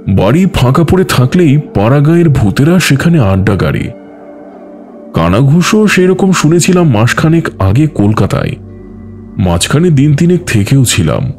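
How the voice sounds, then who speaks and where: A man playing a teasing older uncle in an audio story, speaking in a deliberate, mock-serious way. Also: mid-range voice, very animated, darkish tone. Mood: wry, knowing. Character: teasing older uncle hosting guests in ancestral mansion. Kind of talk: dramatised audio story dialogue. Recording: music behind the voice. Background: background music.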